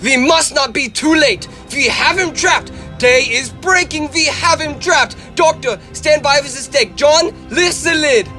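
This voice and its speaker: Radio drama vampire hunter, male, acted: commanding professor, strikingly high voice, urgent declamatory orders. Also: a little slow, hardly any pauses, sharp tone, soft background music, exaggerated voice. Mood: urgent, determined.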